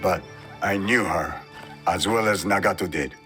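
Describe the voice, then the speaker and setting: An actor playing a grave older Japanese warrior mentor in a video game cutscene, speaking in a measured, cryptic, solemn way. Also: male voice, low voice, fairly bright tone. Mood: reflective. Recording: music behind the dialogue.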